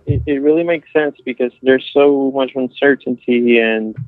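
A man in a casual analytical discussion, speaking in halting, hesitant phrases. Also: medium pitch, no echo, phone-quality sound. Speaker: podcast discussion participant.

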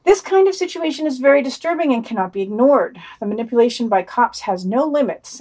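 A woman's voice: a little high, a little mellow. A woman hosting a podcast, reading a scripted news digest and quoted passages aloud with wry asides. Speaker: podcast host.

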